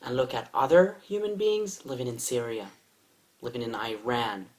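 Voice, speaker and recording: A man from Israel giving an impassioned statement to camera, speaking in short, emphatic phrases with deliberate pauses. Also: medium pitch. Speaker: video commentator.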